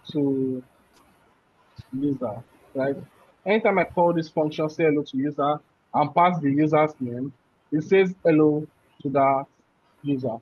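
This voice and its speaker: A man teaching a programming class. He walks through code step by step and keeps checking in with "right?". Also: on the high side. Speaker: programming instructor. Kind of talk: live coding class explanation. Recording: muffled, dull audio.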